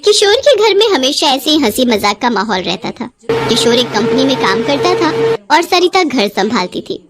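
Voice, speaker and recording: A woman's voice: high voice, very animated, normal speed, music in the background. A woman narrating a scripted family story in a dramatic storytelling style.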